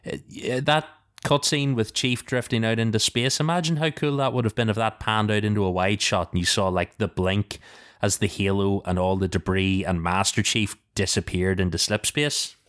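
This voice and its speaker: A man's voice: lowish voice, steady tone, moderate pace, fairly bright tone, smooth. A man chatting casually as a podcast panelist, talking informally and thinking aloud.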